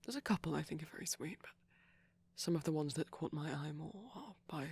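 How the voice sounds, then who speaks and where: A man speaking in a soft, breathy ASMR ramble, often slipping into a whisper, gentle, shy and hesitant, close to the microphone. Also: on the high side, fairly quick. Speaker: ASMR performer.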